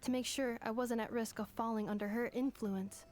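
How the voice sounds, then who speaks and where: Woman as a high-voiced, formal machine girl. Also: steady tone, a little mellow, lightly acted. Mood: explanatory. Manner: formal, measured, literal.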